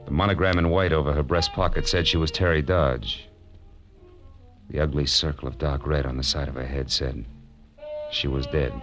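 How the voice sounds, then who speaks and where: A man's voice: a bass voice, a bit bright. An actor playing a wry, tough-talking American private detective, narrating his case in 1940s radio drama style. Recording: vintage radio drama with music underneath.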